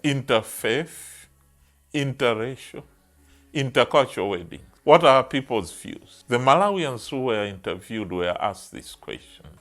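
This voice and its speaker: A man's voice: medium pitch, animated, measured pace, fairly bright tone. A man reading scripted documentary narration. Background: music.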